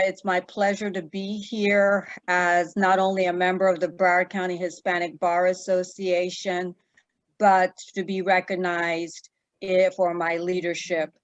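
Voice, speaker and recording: A woman in her fifties from Jamaica, an attorney answering interview questions in a measured way with many fillers and pauses. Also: fairly low pitch, no echo, lo-fi sound.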